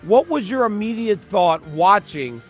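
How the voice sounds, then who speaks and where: A man's voice: high voice, big pitch swings, soft background music. A man hosting an interview, asking long conversational questions. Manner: conversational, quick follow-up prompts. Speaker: interview host.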